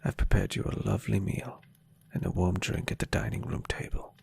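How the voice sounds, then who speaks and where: A man voicing a gentle, adoring, centuries-old vampire boyfriend in a soft-spoken, tender and unhurried ASMR roleplay. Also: low-pitched, fairly even intonation, slight background noise. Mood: tender, affectionate. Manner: tender, breathy, unhurried, softly teasing.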